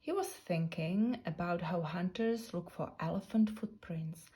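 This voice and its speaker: A woman narrating a children's picture book in a deliberate, expressive storytelling manner. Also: fairly low pitch. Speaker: storybook reader.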